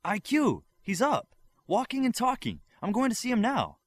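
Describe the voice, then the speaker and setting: Eager, loud young male superhero for an audiobook, played by a male reader, pitched high and exclaiming. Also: wildly swooping pitch, normal speed, no echo, heavily acted. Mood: elated.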